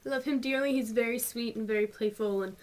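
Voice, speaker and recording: A woman's voice: fairly high pitch, fast talker. A woman in her twenties with a North Georgia mountain Southern twang, chatting casually and answering viewer questions in a vlog. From North Georgia, USA. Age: 20s. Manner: chatty, rambling, frequent ums and little laughs. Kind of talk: casual chat.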